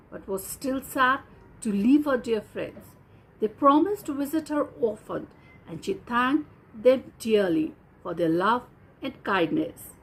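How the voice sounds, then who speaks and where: Slow, higher-voiced woman storyteller. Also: slight background noise, poor audio. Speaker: storyteller and host. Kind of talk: slow, scripted fairy-tale narration.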